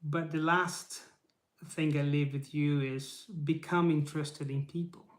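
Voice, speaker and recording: A man's voice: a little high, flat delivery, unhurried, reverberant. A man whose first language is Portuguese, speaking in English to camera on his channel, earnest and encouraging, asking rhetorical questions and often adding "right?". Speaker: YouTube channel host. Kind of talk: casual live motivational talk to camera. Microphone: camera mic.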